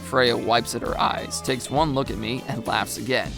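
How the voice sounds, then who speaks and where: A woman's voice: rumbling low, fairly even intonation, moderate pace, creaky, music in the background. A woman reading a scripted first-person story as its narrator.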